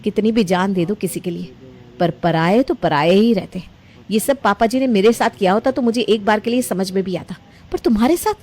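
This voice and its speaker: A woman voicing a scheming, sharp-tongued young daughter-in-law who complains and manipulates in a story drama. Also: mid-range voice, fairly quick, natural voice. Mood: resentful.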